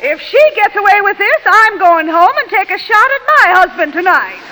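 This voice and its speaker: A woman's voice: extremely high pitch, very animated, normal speed, bright, forceful tone, big-room sound, exaggerated voice, rough recording. An actress playing a stubborn female juror who answers with wisecracks. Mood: defiant. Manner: defiant, wisecracking.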